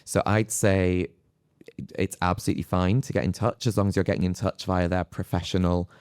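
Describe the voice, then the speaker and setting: A man's voice: low-pitched, flat delivery, brisk, darkish tone, clear, resonant tone, no room sound, studio quality. A man speaking as an academic panelist in a live discussion, phrasing his thoughts in a hesitant, reflective way. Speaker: panelist, psychology lecturer.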